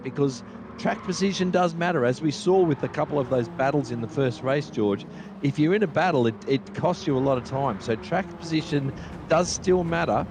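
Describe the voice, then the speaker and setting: South African man commentating a race. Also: mid-range voice. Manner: chatty, analytical, stumbles into restarts. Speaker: sim racing co-commentator. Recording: steady background noise.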